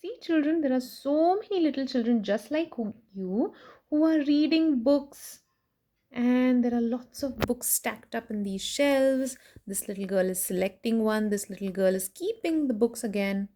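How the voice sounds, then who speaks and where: A woman doing an expressive children's picture book read-aloud, playful in her emphasis, with chatty asides to her young listeners. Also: fairly high pitch, unhurried. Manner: animated, sing-song rhyme reading with playful emphasis. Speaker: children's story reader and host.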